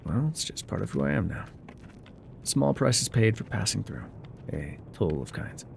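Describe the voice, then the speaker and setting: Man playing a low, gravelly frontier guide. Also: big pitch swings, a little hiss or hum, exaggerated voice. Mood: wistful, haunted. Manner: unhurried, musing, folksy, trailing pauses. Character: laconic, weathered male frontier guide, calm and haunted. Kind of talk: immersive ASMR roleplay monologue.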